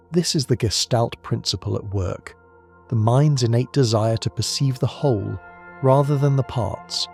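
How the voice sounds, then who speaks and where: Slow, calm man with gravelly fry. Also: on the low side. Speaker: sleep narrator. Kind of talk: soft-spoken bedtime narration.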